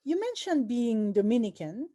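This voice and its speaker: A woman hosting a conversational interview, asking her questions in a measured way with pauses. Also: fairly high pitch, very animated, mellow. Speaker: vlog host and interviewer.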